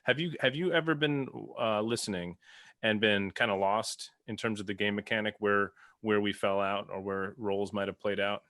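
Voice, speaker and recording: A man's voice: lowish voice, fairly even intonation, normal speed, a bit bright, no echo, clean studio sound. A man hosting a casual podcast discussion, feeling his way through sentences and rephrasing as he goes. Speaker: podcast host and sound designer.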